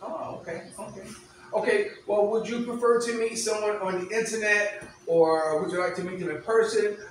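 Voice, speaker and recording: A man hosts a playful, teasing interview, often halting on his fillers. His voice sits high for a man. He is recorded from a distance in a very echoey room. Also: fairly even intonation, slight background noise. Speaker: host. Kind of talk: casual mock late-night interview. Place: room with a fan running.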